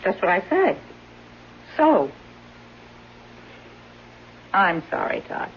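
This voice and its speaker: An actress playing a scheming caregiver and secret lover in a 1970s radio drama, brisk, sardonic and hard-edged. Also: female voice, medium pitch, very animated. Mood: hard, resentful. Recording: dull, noisy, humming vintage radio recording.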